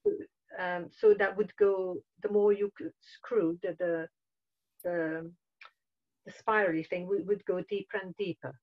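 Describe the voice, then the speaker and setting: A woman's voice: on the low side, fairly even intonation, unhurried. A woman in her fifties on a video call, describing her thoughts hesitantly and searching for words. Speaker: participant. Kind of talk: hesitant casual chat. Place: small room at home. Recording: dull lo-fi call audio.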